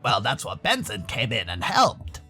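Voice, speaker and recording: A man's voice: fairly low pitch, wildly swooping pitch, moderate pace, pushed and bright, husky, soft background music, voice pushed down, exaggerated voice. An American man voicing a laid-back furry animal character who hesitantly explains things to his friends in a visual novel. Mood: explaining.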